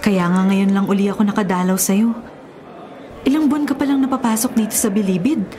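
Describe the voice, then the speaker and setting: A woman's voice: mid-range voice, a little fast, a crowd in the background, close to an everyday voice. A woman playing a convict's loyal, frank and cautioning girlfriend in a Filipino radio drama. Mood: concerned. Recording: noticeable background noise.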